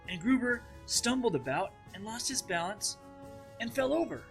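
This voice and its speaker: A man's voice: high voice, big pitch swings, normal speed, faint music behind, pitched above the performer's own voice, poor audio. A man voicing an imaginative, stubborn young girl who loves telling stories, in a dramatized children's read-aloud. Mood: excited, triumphant.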